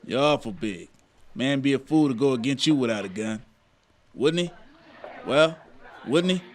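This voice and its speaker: A man acts out a bullying gunslinger from a radio western. He drawls in a folksy way at a medium pitch, taunting and full of menace. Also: animated, normal speed, lightly acted. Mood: taunting, menacing. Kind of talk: old-time radio western acting.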